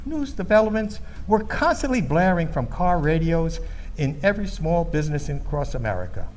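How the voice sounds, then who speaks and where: A man playing an earnest Midwestern storyteller recalling his youth in a scripted radio theater monologue, measured, grave and declamatory. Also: fairly high pitch, very animated, soft background music. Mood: earnest, dramatic.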